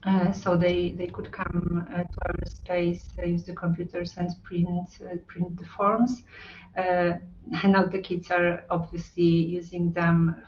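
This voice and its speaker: A woman's voice: fairly low pitch, flat delivery, a little hiss or hum. A woman on a video call, speaking as a with many ums and uhs. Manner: halting, with frequent ums and uhs. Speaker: hub representative on a video call. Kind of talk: hesitant online talk with many fillers. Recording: roomy, distant, muffled call audio.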